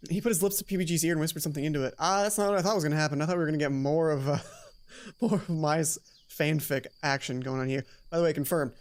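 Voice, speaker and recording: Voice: medium pitch, steady tone, fast. A gaming host reading a visual novel aloud, dramatizing it and breaking off into offhand asides, sometimes stumbling over lines. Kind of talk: let's play, reading visual novel aloud with casual commentary. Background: game music.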